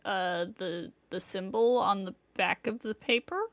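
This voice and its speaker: A woman's voice: medium pitch, measured pace. A woman chatting casually and reading aloud as she streams a video game. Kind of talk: casual chat and reading aloud while playing.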